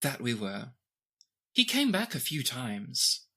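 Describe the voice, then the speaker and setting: A young teenage princess played by a game master speaks in a bright, clear-cut tone. The phrasing is formal and stately, gentle yet guarded, as in a fantasy tabletop game. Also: mid-range voice, wildly swooping pitch, slow pace, heavily acted. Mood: reserved, wistful.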